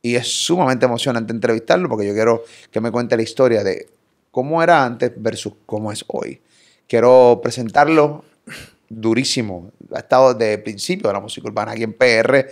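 A Puerto Rican man in his thirties hosting a casual podcast interview in a studio, energetic and playful, talking with his hands. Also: medium pitch, brisk. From Puerto Rico. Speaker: podcast host. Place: pink studio set. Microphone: boom studio mic.

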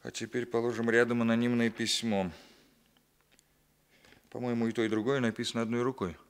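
An actor playing a stern, methodical police captain in a 1960s Soviet radio play, deliberate, authoritative and dryly ironic. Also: male voice, mid-range voice, flat delivery, lightly acted. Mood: decisive.

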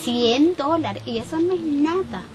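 Young woman playing a worried, high-voiced Salvadoran farm boss. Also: expressive, unhurried, a little mellow. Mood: worried, indignant. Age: young adult. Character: worried, indignant young female farm owner complaining about an employee. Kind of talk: amateur improvised sketch acting. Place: outdoors in a rural yard. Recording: outdoor camera sound, faint ambient noise.

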